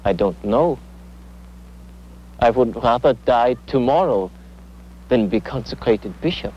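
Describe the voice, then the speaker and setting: Actor as a humble, reluctant immigrant priest. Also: male voice, medium pitch, animated, a little slow, a little mellow, a little hiss or hum, lightly acted, low-quality recording. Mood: earnest, uneasy. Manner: formal, modest, earnest. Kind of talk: acted documentary-style interview.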